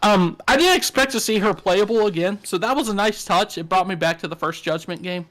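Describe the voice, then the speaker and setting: A man's voice: high voice, fairly quick, a bit bright. A man with a Southern US accent, co-hosting a podcast in frank, joking casual talk. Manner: joking, frank, swears often. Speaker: podcast co-host. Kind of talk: casual chat.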